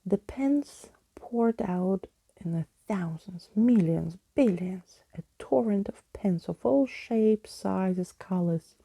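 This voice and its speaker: Soft, breathy woman reading a bedtime story. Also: on the low side. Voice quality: soft, breathy, partly whispered. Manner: gentle, hushed storytelling. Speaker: ASMR reader, narrator.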